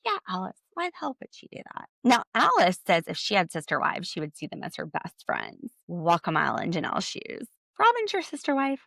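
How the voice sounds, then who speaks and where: A woman's voice: huge pitch swings, a little fast, vocal fry, hardly any pauses, sharp tone, dry recording, clean studio sound. A young woman hosting a podcast, recapping in a chatty, sarcastic way. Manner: chatty and sarcastic, acting out quotes in a high voice. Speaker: podcast host. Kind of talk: casual recap chat.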